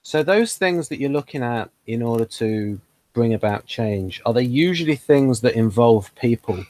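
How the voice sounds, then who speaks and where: A man's voice: mid-range voice, expressive, normal speed, a little mellow, no room sound. A man hosting a casual interview, explaining ideas, reading items aloud and asking follow-up questions. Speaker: host.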